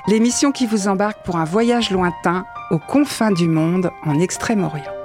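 A woman's voice: medium pitch, moderate pace. Chatty woman radio host, music bed. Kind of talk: local radio show presenting.

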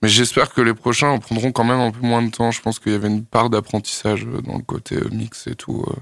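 A young man from France, a singer-songwriter, answering radio interview questions in a thoughtful, hesitant way with many pauses and fillers. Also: mid-range voice, level tone, gravelly, a bit bright, no echo, studio quality.

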